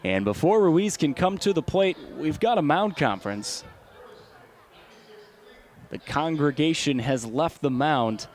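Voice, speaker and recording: A male baseball play-by-play broadcaster with an American accent. His voice sits a little high for a man as he delivers live game commentary. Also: a little mellow.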